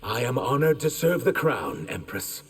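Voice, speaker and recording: A man's voice: medium pitch, sing-song, normal speed, heavy background noise, close to an everyday voice. A man playing a grave, loyal servant of the crown in a fantasy video game, speaking formally and with deference. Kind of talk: video game dramatic acting. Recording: long reverb, prominent music behind.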